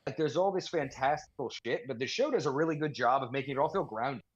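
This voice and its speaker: A man's voice: medium pitch, animated, fast, slightly rough. A man co-hosting a podcast in casual chat, informally qualifying his opinions as he goes. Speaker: podcast co-host. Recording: slightly dull, lo-fi audio.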